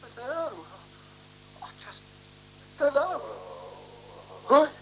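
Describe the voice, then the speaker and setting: A man's voice: very high-pitched, expressive, takes it slowly, a bit bright, exaggerated voice, low-quality recording. An actor playing a meek, evasive, guilty and flustered man in a radio play, stammering and hesitant. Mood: helpless. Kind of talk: 1970s radio play acting. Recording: humming, hissy 1970s radio recording.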